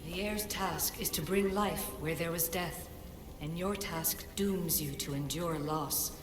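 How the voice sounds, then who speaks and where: A woman playing a solemn, cryptic ancient guide in a video game, speaking in a measured, formal, oracular way. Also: lowish voice, natural voice. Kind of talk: scripted video game drama. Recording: long echoing reverb, music behind.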